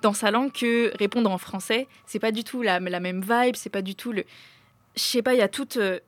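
A young woman with a medium-pitched voice takes part in a casual group discussion. She speaks expressively and informally, using youthful slang. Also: moderate pace, no echo.

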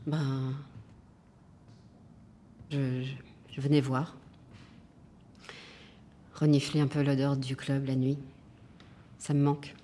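An actress playing a brash, defiant jazz club owner in a naturalistic drama, talking colloquially with a sardonic edge. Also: female voice, extremely low pitch, level tone, slow, pauses often, dark tone, a little hiss or hum. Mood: defensive. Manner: colloquial, sardonic, musing aloud. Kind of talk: naturalistic drama monologue and dialogue.

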